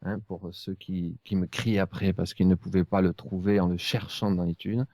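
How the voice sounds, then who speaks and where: A man hosting a casual podcast, speaking in a hesitant, halting way. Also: deep voice, flat delivery, gravelly, dark tone, dry recording, clean studio sound. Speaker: podcast host.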